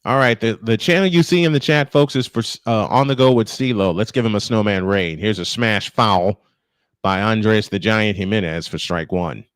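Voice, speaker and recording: A man's voice: fairly low pitch, moderate pace, smooth, no echo. A man doing live baseball play-by-play, mixing commentary with casual banter as host.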